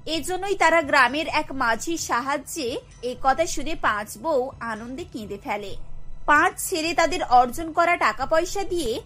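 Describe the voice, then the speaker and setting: A woman's voice: sits high, a little slow, a bit bright, soft background music. A woman storyteller giving scripted narration for a cartoon tale.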